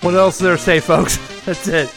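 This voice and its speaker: A man's voice: sits high, flat delivery, moderate pace, over music. A man hosting a casual comedy show, playful and laughing with his co-host. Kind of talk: casual comedic podcast banter.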